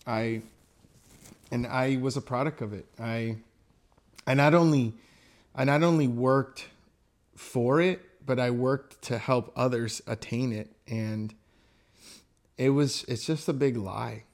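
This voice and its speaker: A man's voice: medium pitch, slow pace, long pauses. A young man co-hosting a casual faith podcast, speaking bluntly and with passion, often restarting his phrases. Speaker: podcast co-host.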